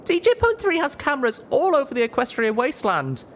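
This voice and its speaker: A man's voice: wildly swooping pitch, a little fast, fairly bright tone, a little hiss or hum, exaggerated voice. A man voicing a bashful, flustered young female pony heroine who pleads and stammers, in an audiobook story. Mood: earnest, pleading. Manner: stammering, squeaky protests.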